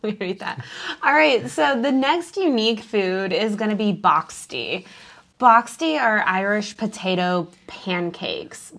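Woman, young adult, from the US, vlog co-host, brisk and practical, vocal fry, lapel mic. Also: mid-range voice, animated, a bit bright. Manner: brisk, practical, adds tips. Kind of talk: casual food recommendations to camera. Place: indoor home room with plants.

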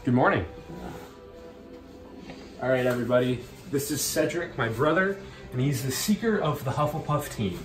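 A man's voice: medium pitch, moderate pace, pauses often, soft background music, lightly acted. Young man playing a bumbling league manager. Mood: awkward. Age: young adult. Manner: awkward, rambling, deadpan asides. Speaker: mockumentary lead. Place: family house rooms and garage, indoors. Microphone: handheld camera a few feet off. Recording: roomy home echo, faint hiss.

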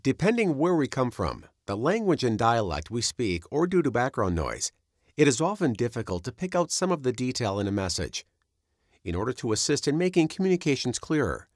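A man reading scripted instructional narration as a training presenter, in a measured, instructive manner. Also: medium pitch, dry recording, clean studio sound.

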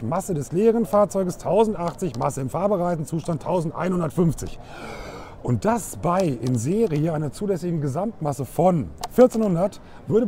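A middle-aged man hosts a casual product tour. His voice sits a little high but stays soft-edged and relaxed. He chats colloquially, as if showing a friend around. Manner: chatty, colloquial, addresses viewer directly. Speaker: vlog host presenting caravans. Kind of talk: casual walk-through product presentation. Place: caravan trade fair hall and inside a caravan. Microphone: wireless clip-on microphone.

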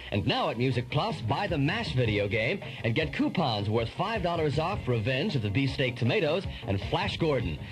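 Animated male radio ad announcer. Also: a little high, low-quality recording. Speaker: commercial announcer. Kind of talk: 1980s radio commercial read, scripted. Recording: 1980s radio ad with music bed. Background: music.

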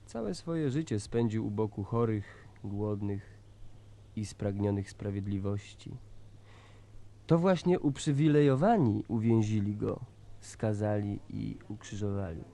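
A fervent male preacher in a radio drama, sermonizing with conviction. His voice is low and mellow, with a soft, dark tone. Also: unhurried, creaky. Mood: preaching. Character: male ex-guerrilla preacher, amnesiac and impassioned.

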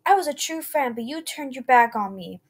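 A woman's voice: a little high, fairly quick, lightly acted. A woman voicing a crazed toy maker villain. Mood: raving. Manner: calm then raving, growling and snickering.

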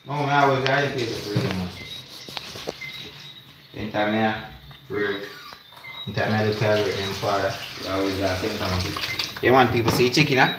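A young Jamaican man banters casually over his cooking in a fairly low voice. The phone recording is echoey and noisy, made in a home kitchen. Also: normal speed, long pauses. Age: young adult. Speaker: home cook.

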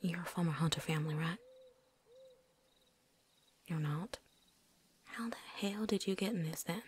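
A woman playing a seasoned, wary but friendly vampire hunter in a hushed, folksy ASMR roleplay whisper. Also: fairly low pitch, unhurried, slight background noise, lightly acted. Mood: confiding. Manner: hushed, folksy drawl, teasing.

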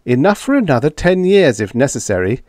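A man voicing a gaunt, tired starship captain giving a brisk, plain account. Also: mid-range voice, sing-song, a little mellow, no echo, studio quality, pitched above the performer's own voice, natural voice. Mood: tense. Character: gaunt, weary starship captain, steady and determined.

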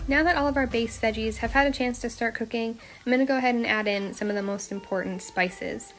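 A young woman with a slightly high voice walks through a recipe in a relaxed, chatty way, adding small asides as she goes. She sounds like she's recording in her own home kitchen, with soft music playing underneath. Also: a little fast. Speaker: home cooking show host. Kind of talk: casual cooking voice-over.

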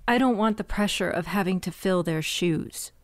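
A woman's voice: lowish voice, moderate pace. A woman acting a stressed cousin who runs a failing shop, stern and exasperated. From United States. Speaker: shop owner character. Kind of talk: audio drama acting.

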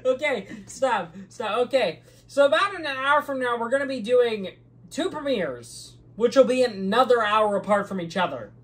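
A loud, excitable young man co-hosting a casual channel update in a small green-screen room, laughing often. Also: very high-pitched, normal speed. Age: young adult. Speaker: channel co-host. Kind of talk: casual chat.

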